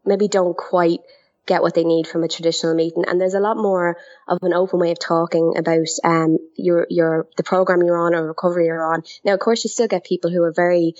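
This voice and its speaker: A woman's voice: lowish voice, flat delivery, fairly quick, darkish tone. An Irish woman in casual conversation, chatty, talking in loose restarts and fillers. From Ireland. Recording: dull lo-fi audio.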